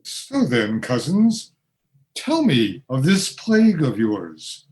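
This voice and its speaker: A man's voice: medium pitch, huge pitch swings, slow pace, a little mellow. A man playing an earnest male ghost from the 1820s who speaks with formal, old-fashioned phrasing. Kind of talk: community radio play acting.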